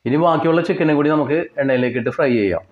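A man with a Kerala Malayali accent giving step-by-step cooking instructions in a plain way, with pauses and repetitions. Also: on the high side, narrow, tinny audio. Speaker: home cook presenter. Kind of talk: step-by-step recipe narration.

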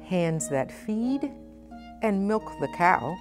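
A middle-aged woman presenting a children's storytime, speaking expressively and with deliberate phrasing for young listeners. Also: medium pitch, sing-song, music in the background. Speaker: guest storytime reader, nonprofit president. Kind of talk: children's picture book read-aloud. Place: indoor storytime set at a library.

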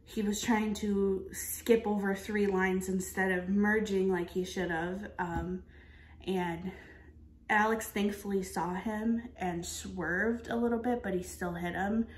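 A woman's voice: medium pitch, steady tone, slow pace. A woman in her twenties talking casually to camera as a vlogger, in an earnest way with emphatic repetition. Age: 20s. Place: kitchen of an apartment, indoors. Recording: echoey indoor room.

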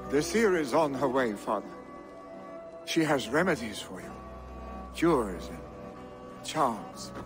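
A man's voice: medium pitch, big pitch swings, slow pace, long pauses. An earnest young Viking nobleman, with a mid-range voice. He speaks plainly and sincerely, with restraint, as music plays behind him in a video game drama. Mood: gentle, worried. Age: young adult. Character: earnest young Viking heir, devoted son.

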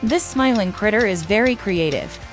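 A woman's voice: mid-range voice, animated, moderate pace, soft background music. A woman hosting a quiz in scripted narration. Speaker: quiz host.

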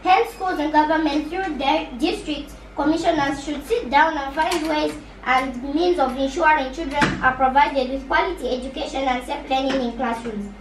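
A woman's voice: sits high, moderate pace, few pauses. A young female school pupil with a Zambian accent reading scripted radio news. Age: teenage school pupil. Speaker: pupil newsreader. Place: small radio studio, recorded by camera. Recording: echoey, distant mic, faint noise.

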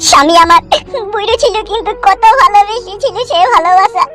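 A miserable, self-pitying cartoon wife. She sobs in an extremely high voice, dragging her words into a sing-song lament, with music clearly behind. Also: a little fast. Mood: tearful, wailing. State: crying. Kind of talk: amateur comedy cartoon dialogue. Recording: music bed behind dialogue.

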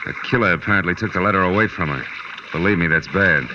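An actor playing a tough, wry private detective with an American accent, clipped and sardonic, in 1940s radio drama style. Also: male voice, sits low, fast, lightly acted. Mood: grim, wry. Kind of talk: 1940s radio acting, noir narration. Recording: muffled, noisy, distant 1940s radio recording.

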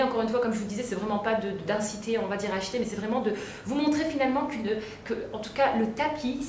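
Chatty woman vlogger, roomy living room. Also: fairly high pitch. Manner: lively hand gestures, many fillers and restarts. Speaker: home decor vlogger. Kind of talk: casual chat to camera. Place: bright living room, indoors. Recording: roomy echo, faint background noise.

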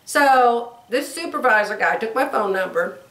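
An older woman with a drawl, hoarse, telling stories and chatting casually to camera as a sewing vlogger. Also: on the high side, expressive, moderate pace, fairly bright tone, far from the mic. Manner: chatty storytelling, acts out quoted voices. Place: bedroom sewing room, indoors. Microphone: camera across the room. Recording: roomy, from off mic.

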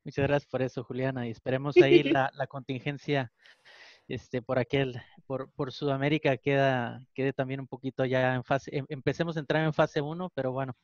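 A man's voice: mid-range voice, little pitch movement, brisk, no echo. Hesitant male co-host on a headset. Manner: hesitant, with fillers and restarts. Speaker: podcast co-host. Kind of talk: casual interview chat. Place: home room. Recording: dry, dull headset audio.

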